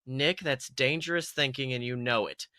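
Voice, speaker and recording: Man reading as a sensible, bright-voiced rabbit policewoman. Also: medium pitch, moderate pace, no echo, clean studio sound, voice pushed down, natural voice. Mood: concerned scolding. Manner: concerned, gently scolding. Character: sensible young female rabbit police officer, teasing, caring.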